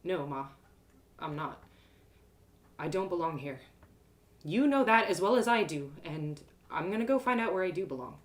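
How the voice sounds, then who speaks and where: A woman's voice: lowish voice, animated, moderate pace, long pauses, close to an everyday voice. A woman voicing an earnest, sensitive young woman adventurer in a fantasy story, speaking plainly. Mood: earnest pleading.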